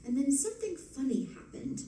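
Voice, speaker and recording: A woman playing a poised, affectionate detective's wife in an old-time radio drama, cool and gently dry. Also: high voice, very animated, brisk. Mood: calm, puzzled. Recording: echoey, distant, noisy stage recording.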